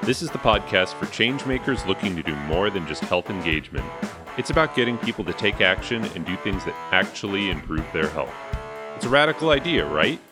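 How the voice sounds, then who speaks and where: A man voices a scripted podcast opening with an upbeat, emphatic read. His voice sits fairly low but swings widely in pitch. Plucked guitar music plays clearly beneath him. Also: very animated, a little fast. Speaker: podcast intro announcer. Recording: music bed under voice.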